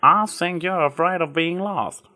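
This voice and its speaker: Storybook farm pony, female, played by a man, raised pitch, folksy country talk, earnest, frank, protective. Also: a little slow, pushed and bright. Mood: thoughtful, reassuring. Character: warm, earnest, protective farm-girl pony, plain-spoken country mare.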